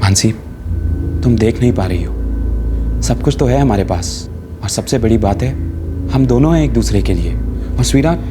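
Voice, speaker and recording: An actor playing a loving, placating young husband in a TV crime drama, reasoning and sprinkling in English words. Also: male voice, medium pitch, expressive, normal speed, long pauses, lightly acted. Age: young adult. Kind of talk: Hindi TV crime serial acting. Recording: dramatic background music.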